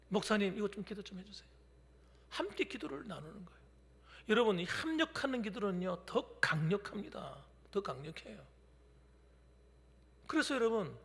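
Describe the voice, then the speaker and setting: A male pastor in his fifties preaching a midweek sermon, shifting between loud declarations and hushed whispers. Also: high-pitched, vocal fry. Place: church sanctuary during a midweek service. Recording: long hall reverb from a church.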